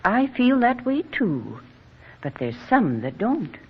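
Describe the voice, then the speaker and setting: A woman playing a gentle, concerned, folksy rural mother in a 1940s radio drama, with a husky voice. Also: a little high, very animated, takes it slowly, slight background noise, heavily acted. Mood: sympathetic. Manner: folksy, consoling. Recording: muffled vintage radio recording.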